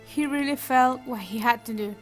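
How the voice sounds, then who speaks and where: A woman's voice: a little high, moderate pace, soft background music. A young adult woman narrating a fairytale from a script.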